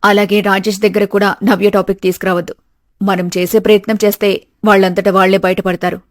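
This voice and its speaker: A woman's voice: mid-range voice, flat delivery, brisk, no room sound, clean studio sound, lightly acted. Woman as a motherly, crafty middle-aged aunt. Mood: confident, scheming. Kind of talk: animated moral story dialogue.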